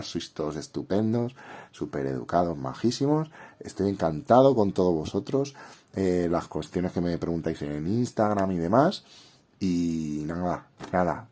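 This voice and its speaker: A man's voice: low voice, warm tone. A man with a Castilian Spanish accent and a head cold, chatting informally and directly to viewers as he hosts a casual tutorial and review. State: congested with a cold. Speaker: YouTube host reviewing a drawing app.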